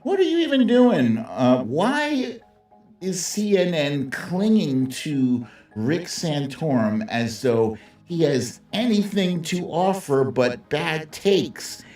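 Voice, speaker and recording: A man with a mid-range voice delivers casual, opinionated live commentary at an unhurried pace. He is sardonic and salty, talking straight to viewers. He sounds set back from the mic in a roomy, echoing space, with music behind him. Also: on the high side, sing-song, darkish tone, far from the mic. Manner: sardonic, conversational, salty slang. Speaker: host of a live political commentary stream.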